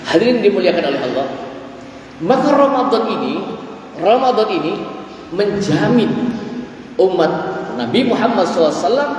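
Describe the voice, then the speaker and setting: High-voiced man preaching in an echoing mosque. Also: big pitch swings, moderate pace, pauses often, a little mellow, a little hiss or hum. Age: 40s. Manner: stretches phrases, quotes Arabic verses. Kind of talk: dawn mosque sermon. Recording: echoey hall, voice from far off.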